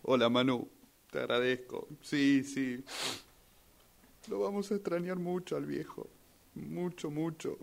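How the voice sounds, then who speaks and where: Un hombre con acento argentino que interpreta en un radioteatro a un hijo en duelo, dubitativo y lleno de sospechas. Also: male voice, a little high, measured pace, creaky, pauses often. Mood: grieving, grateful. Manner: hesitant, repeats phrases. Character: grieving son troubled by suspicions over his father's death. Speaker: radio drama workshop participant. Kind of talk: rehearsed radio drama acting.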